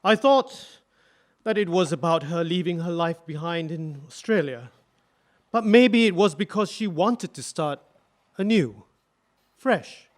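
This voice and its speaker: A man acting a 1940s husband testifying, gentle and reflective about his wife. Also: fairly high pitch, expressive, a little slow, long pauses. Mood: wistful. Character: wistful grieving husband, 1940s. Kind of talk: radio drama acting.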